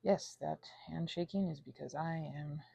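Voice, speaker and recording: A woman with a mellow, creaky voice rambles casually and anxiously to her audience. She stops and starts, wanders into tangents and adds playful, theatrical flourishes. The recording is close and dry. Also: sits low, no echo. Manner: halting, tangent-prone. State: anxious, panicky; unwell. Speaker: ASMR channel host.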